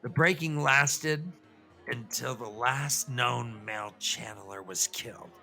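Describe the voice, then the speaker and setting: A man playing an excitable puppet lecturer who explains fantasy lore in a rambling, professorial way, with digressions and stammers. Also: medium pitch, takes it slowly, vocal fry, soft background music, exaggerated voice. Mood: earnest, rambling. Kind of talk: semi-scripted comic puppet-show lecture.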